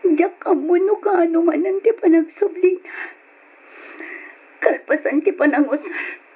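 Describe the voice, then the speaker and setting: A very high-pitched woman's voice a long-suffering battered wife in an old Ilocano radio drama. She is plaintive and pleading, often close to tears. The recording is dull and lo-fi. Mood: lonely despair. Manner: plaintive, emotional.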